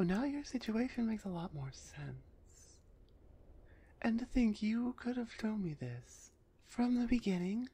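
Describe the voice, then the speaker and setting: A woman voicing a big, gruff female minotaur executioner with grudging, teasing menace in an ASMR roleplay. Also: medium pitch, warm tone, full character voice. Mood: intrigued.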